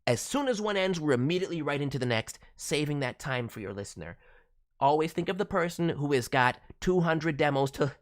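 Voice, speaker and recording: A woman's voice: low voice, lively intonation, a little fast. A woman working as a voice director, giving a casual spoken critique with advice in an emphatic manner. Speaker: voice director reviewing demo reels.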